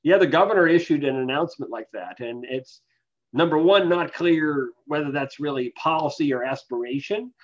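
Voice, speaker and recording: A man speaking as an expert guest, giving explanatory interview answers in a measured, analytical way. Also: a little high. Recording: dull, lo-fi voice capture.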